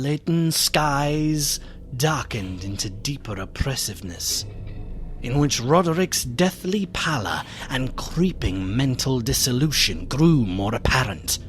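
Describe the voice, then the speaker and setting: A grave, formal gentleman in a gothic radio play. His medium-pitched voice is deliberate and haunted, with faint music behind it. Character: concerned, courteous gentleman friend, haunted narrator of gothic tale. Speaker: narrator and visiting friend. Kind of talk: gothic radio drama narration and dialogue.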